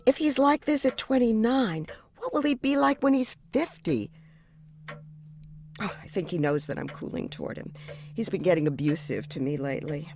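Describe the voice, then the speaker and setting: A woman's voice: lowish voice, normal speed, a little mellow, slight background noise, close to an everyday voice. A woman playing an outspoken, defensive young therapy client in an audio drama, with a frank, sardonic manner. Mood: exasperated, sardonic.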